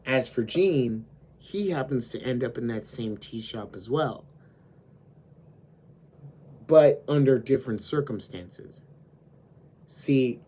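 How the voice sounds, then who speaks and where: A man with a hoarse voice, slowly retelling an anime story as host of his own channel. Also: medium pitch, warm tone, a little hiss or hum. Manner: slow, deliberate storytelling with frequent fillers.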